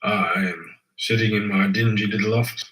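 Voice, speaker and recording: A man reciting a poem aloud, declaiming the lines with sweeping swings in pitch. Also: steady tone, moderate pace, a bit bright, breathy edge, low-quality recording. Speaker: poetry reciter. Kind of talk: dramatic poetry recitation. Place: played from another device.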